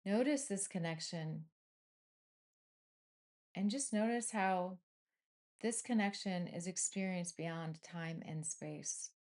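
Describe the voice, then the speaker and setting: Female meditation guide, slightly low register, soothing and unhurried, short phrases with space between them. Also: a little mellow.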